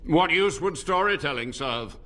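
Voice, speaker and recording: A haughty old mage, lively and bright. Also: male voice, fairly high pitch, normal speed. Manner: haughty, lofty and dramatic delivery. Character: arrogant old human mage, cold and scornful. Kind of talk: video game voice acting.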